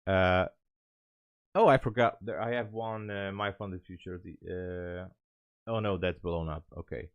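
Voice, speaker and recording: Low-voiced Hungarian man streaming casually. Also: measured pace, clean tone, dry recording, lo-fi sound. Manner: rambling, thinking aloud. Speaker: live trading streamer. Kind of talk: casual livestream chat.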